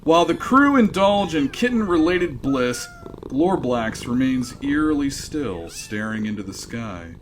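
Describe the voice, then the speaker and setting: A man's voice: mid-range voice, very animated, a little slow, slight background noise. A man narrating a sci-fi radio comedy in a wry, dry scripted delivery.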